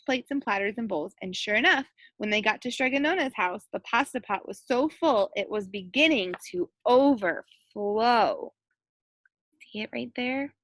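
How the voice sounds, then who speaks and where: High-voiced woman reading a picture book aloud. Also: no echo. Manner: animated, acts out quoted lines and points out pictures. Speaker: elementary school teacher reading aloud to children. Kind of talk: expressive picture-book read-aloud with asides to young listeners.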